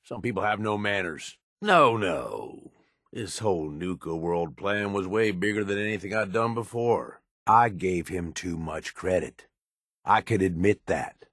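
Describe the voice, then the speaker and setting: A man acting as a middle-aged raider lieutenant in a video game, gruff and blunt, with a world-weary, pragmatic manner and rough slang. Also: low-pitched, animated, measured pace, a bit bright, no room sound, clean studio sound, exaggerated voice. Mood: wry. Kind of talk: video game character dialogue.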